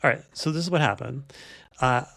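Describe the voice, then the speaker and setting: Male podcast host, creaky voice, close dry sound, informal rambling chat. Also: medium pitch, a little fast, fairly bright tone, no echo. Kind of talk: casual unscripted podcast chat.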